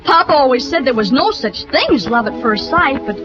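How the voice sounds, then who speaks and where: A woman's voice: sits high, lively intonation, a little fast. An actress playing a naive, gushing young small-town woman, girlish and earnest, in a 1940s radio drama. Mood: dreamy, gushing. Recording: dull old radio recording with music.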